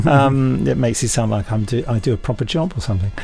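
A man's voice: medium pitch, expressive, moderate pace, creaky, mellow, breathy edge. A British man in casual podcast chat, jokey and hesitant, rambling through his answers. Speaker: podcast host.

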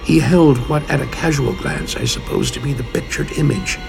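A man giving a slow, brooding dramatic reading of gothic tales in an audiobook trailer. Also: mid-range voice, expressive, creaky. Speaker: audiobook reader. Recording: trailer with music bed.

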